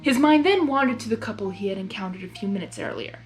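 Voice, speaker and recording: A woman reading fan fiction aloud as host, in an expressive storybook narration style. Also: mid-range voice, fast talker, faint music behind. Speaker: fanfiction reader and channel host.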